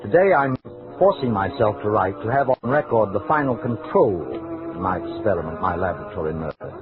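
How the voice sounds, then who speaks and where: A man's voice: on the low side, very animated, a little fast. An actor playing a cold, precise, increasingly tormented scholar who narrates his murder journal in formal, self-important diction in an old radio drama. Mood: strained, controlled. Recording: vintage radio, narrow band, music behind.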